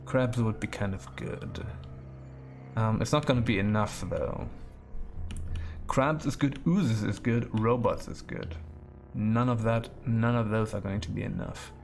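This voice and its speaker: Creaky-voiced man streaming a game. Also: on the low side, measured pace, a little mellow, soft background music. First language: German. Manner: musing, thinking aloud, repeats words. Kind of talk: casual game commentary, chatting with viewers.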